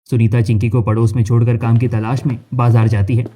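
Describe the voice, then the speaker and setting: A man's voice: medium pitch, flat delivery, fairly quick, mellow, breathy edge. A man narrating a scripted cartoon story as its narrator.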